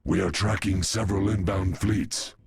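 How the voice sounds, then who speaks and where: An actor playing a grave, terse henchman in a video game, with a gravelly voice. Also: deep voice, fairly even intonation, normal speed, heavily acted. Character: grave, terse male henchman of a crime lord. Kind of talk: video game cutscene acting.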